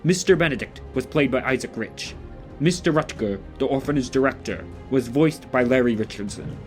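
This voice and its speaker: Guy reading out audio drama credits, medium pitch, some music. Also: moderate pace. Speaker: credits announcer. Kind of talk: scripted credits announcement.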